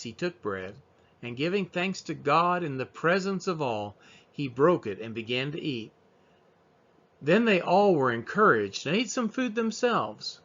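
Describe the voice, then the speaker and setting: Expressive man reading scripture aloud. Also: a little high. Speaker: Bible reader. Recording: dull, lo-fi sound.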